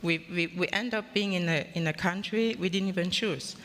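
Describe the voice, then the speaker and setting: A woman's voice: on the low side, normal speed, a bit bright. Hesitant woman from Cameroon, hall reverb. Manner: hesitant, laughing restarts. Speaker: guest. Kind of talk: telling her life story on stage.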